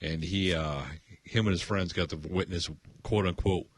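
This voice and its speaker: A man's voice: low voice, fairly even intonation, normal speed, breathy edge. An American man co-hosting a comedy podcast, reading news aloud with crude, irreverent, jokey asides in casual banter. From United States. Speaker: podcast co-host.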